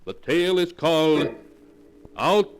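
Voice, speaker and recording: A man's voice: fairly high pitch, slow, background noise. A man narrating a 1940s radio drama in a solemn, dramatic storytelling manner.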